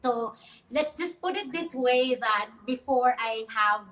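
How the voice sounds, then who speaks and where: A woman's voice: on the high side, takes it slowly, fairly bright tone, rough recording. A woman in her thirties from the Philippines, a guest in a casual online interview who laughs often and uses many fillers.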